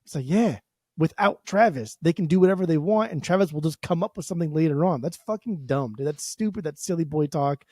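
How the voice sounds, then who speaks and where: A man's voice: on the high side, lively intonation, a little fast, warm tone, no room sound, clean studio sound. A man co-hosting a casual podcast, teasing and arguing with his partner in a jokey, combative way. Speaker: podcast co-host.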